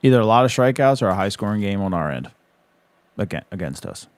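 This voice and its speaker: A man's voice: fairly low pitch, lively intonation, fast. A man co-hosting a casual sports talk show, blunt and wry. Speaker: sports podcast co-host.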